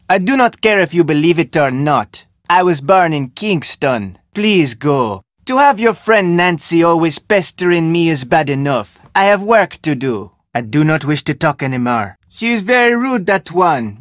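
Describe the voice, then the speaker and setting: A man's voice: a little high, no echo, studio quality. An actor playing a terse, suspicious, solitary fisherman with a Jamaican accent in a video game, speaking in formal, clipped phrases. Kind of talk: video game character dialogue.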